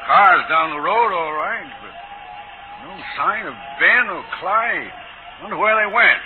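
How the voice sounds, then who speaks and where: Fatherly older American hotel owner, fairly high voice, proud, 1940s radio drama through a noisy narrow band. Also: animated, a little slow. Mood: brisk.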